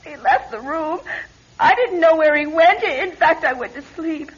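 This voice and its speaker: A woman's voice: high-pitched, expressive, unhurried. A woman playing a distraught, defensive lodge guest in a radio drama, speaking with emotional indignation. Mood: tearful recollection. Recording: dull lo-fi radio recording.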